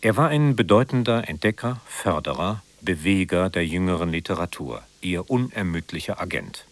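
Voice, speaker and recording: A man reading a scripted biographical introduction as a radio presenter, in a measured, formal manner. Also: sits low, lively intonation.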